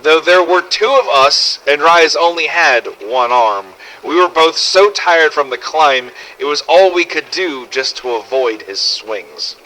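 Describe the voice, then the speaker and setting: A man's voice: a little high, expressive, unhurried, hardly any pauses, bright, forceful tone, low-quality recording. A man narrating a scripted first-person survival story in character, with a dramatic, gritty delivery and some swearing. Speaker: narrator of fan-made game audio logs.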